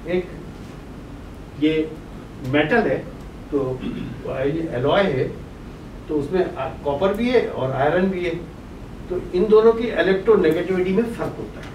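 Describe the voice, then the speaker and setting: A man's voice: sits high, a little mellow. An older man with a Pakistani Urdu accent lecturing at a whiteboard through a face mask, quizzing his class and answering himself. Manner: asks the class questions and answers them himself; talks through a face mask while writing on the board. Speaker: professor lecturing on boiler chemistry. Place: brick-walled meeting room indoors. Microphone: distant room mic. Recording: echoey room from far off with noise.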